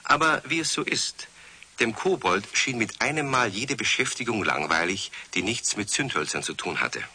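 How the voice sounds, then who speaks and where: A man playing the storyteller of a children's radio play, narrating expressively with a husky voice. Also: on the low side, expressive, normal speed, bright, forceful tone, heavily acted. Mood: lively storytelling. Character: male storyteller of a children's tale.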